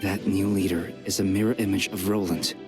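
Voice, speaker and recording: Male actor as a scholarly game character: lowish voice, formal, precise, composed, light music underneath. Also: level tone, fairly quick, natural voice. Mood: measured, explanatory. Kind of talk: video game voice acting.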